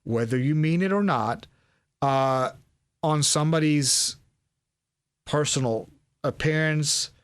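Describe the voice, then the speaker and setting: A man's voice: fairly high pitch, slow pace, pauses often, clear, resonant tone. A young man from Sweden, a podcast guest, talking casually with many restarts and fillers. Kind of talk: casual interview chat.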